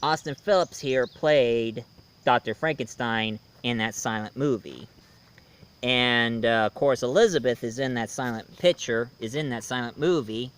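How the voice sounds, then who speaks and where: A man with a clean, smooth voice at an ordinary male pitch hosts a casual film review. He speaks haltingly, pausing partway through sentences and circling back to repeat phrases. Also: a bit bright. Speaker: reviewer, show host. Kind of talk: casual movie review commentary.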